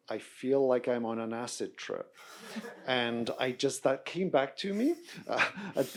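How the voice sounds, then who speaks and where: Guy moderating a q&a, warm low-key voice, keeps restarting his sentences. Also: creaky. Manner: rambling, self-interrupting, many ums. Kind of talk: casual remarks from the podium.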